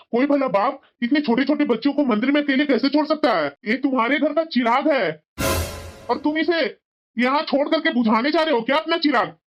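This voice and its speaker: Through slightly muffled sound comes a woman playing a stern temple priest from a moral cartoon. The delivery is reproachful and preaching, pitched fairly high, with a suspicious, righteous edge. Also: natural voice. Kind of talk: Hindi family moral cartoon acting. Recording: dull, lo-fi cartoon voice track.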